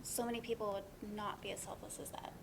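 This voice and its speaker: A woman's voice: medium pitch, little pitch movement, fairly quick, background noise, lightly acted. A woman playing an earnest young professional in a dramatic reading of a stage play, speaking conversationally with small hesitations. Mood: sympathetic. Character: Kat, earnest, apologetic young professional woman, teasing friend.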